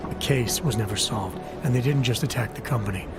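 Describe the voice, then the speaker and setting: A man playing a gruff, guarded augmented counter-terrorism agent with a low, gravelly voice and terse deadpan delivery in a video game. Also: brisk, lightly acted. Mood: firm, serious. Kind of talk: video game cutscene acting. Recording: loud music behind.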